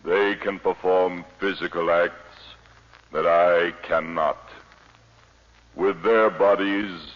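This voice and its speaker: An actor playing a cold, imperious alien monster with mind-control powers in a 1950s radio drama, speaking with deliberate command. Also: male voice, deep voice, fairly bright tone. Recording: muffled, noisy old 1950 radio recording.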